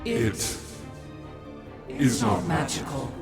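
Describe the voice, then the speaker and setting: An actor voicing an emotionless alien collective mind in a video game, formal and stilted, with a layered, processed voice. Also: male voice, medium pitch, very animated, drawn-out words. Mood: detached. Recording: echoing, loud music behind.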